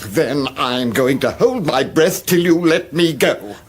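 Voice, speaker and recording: Elderly wizard, highish lively voice, pompous, absent-minded, comic radio fantasy, faint noise. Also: male voice, takes it slowly. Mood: stubborn, grandiose.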